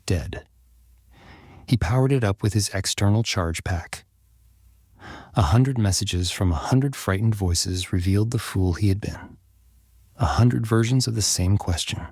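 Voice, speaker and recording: A man's voice: on the low side, a little slow, long pauses, darkish tone, husky, no echo, studio quality. A man reading scripted fiction aloud as a dramatic audiobook narrator.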